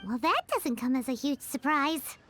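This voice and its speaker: A woman's voice: high-pitched, animated, normal speed, a bit bright, soft background music. A woman voicing a small, childlike fairy companion in an anime game, whiny and easily exasperated. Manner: refers to self in third person, whiny.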